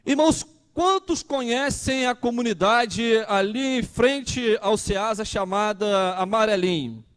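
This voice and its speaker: A man's voice: high voice, takes it slowly, a bit bright, smooth. A man, preaching a conversational sermon and asking the congregation questions. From Brazil. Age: 30s. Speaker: pastor.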